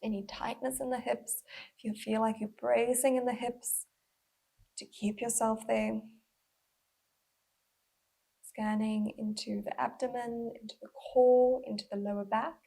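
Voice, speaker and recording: Breathy, husky woman guiding a body scan. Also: fairly high pitch, little pitch movement, rough recording. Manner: gentle, unhurried guiding with pauses. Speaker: mindful movement instructor. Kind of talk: guided body scan meditation.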